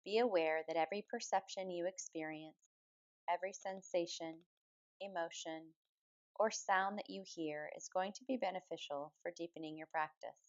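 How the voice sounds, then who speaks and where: Woman, lowish voice, calm and soothing, measured guided meditation delivery, slightly dull recording. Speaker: yoga nidra meditation guide. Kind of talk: guided meditation, slow spoken relaxation instruction.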